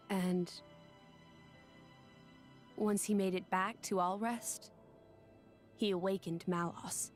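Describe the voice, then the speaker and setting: A woman playing a gentle, solemn young woman who is an ancient living weapon, speaking in a measured, earnest way in a video game cutscene. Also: medium pitch, lightly acted.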